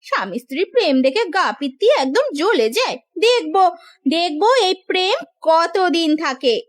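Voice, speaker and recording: Woman playing a haughty rich sister-in-law, high voice, wildly swooping intonation, scolding and taunting, melodramatic cartoon delivery. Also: animated, moderate pace. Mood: bitter taunting. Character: haughty, scornful sister-in-law from a landlord's household.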